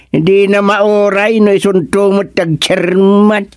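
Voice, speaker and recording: A man acting as an excitable, gossipy village official in an Ilocano radio comedy drama, with an Ilocano accent and drawn-out exclamations. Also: sits high, dry recording, clean studio sound. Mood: amused, gossipy.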